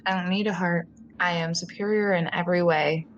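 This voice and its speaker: A woman's voice: on the low side, fairly even intonation, a little fast, highs cut off, a little hiss or hum. A woman playing in a tabletop game, chatting casually with a playful, mock-superior tone. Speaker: player. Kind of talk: casual chat.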